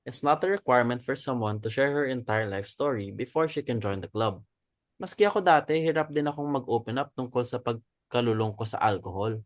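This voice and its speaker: A man's voice: mid-range voice, a little fast, lightly acted. A man acting a skeptical but supportive club member in a dramatic reading, candid and questioning. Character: Tom, skeptical, supportive male club member, recovering alcoholic.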